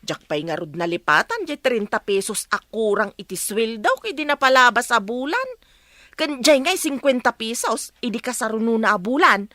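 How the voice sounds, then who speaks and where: A woman's voice: on the high side, big pitch swings, normal speed, pushed and bright, dry recording, studio quality. A woman playing a talkative, cheeky housemaid with an Ilocano accent in a comedy radio drama. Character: sassy, talkative housemaid, respectful yet cheekily outspoken.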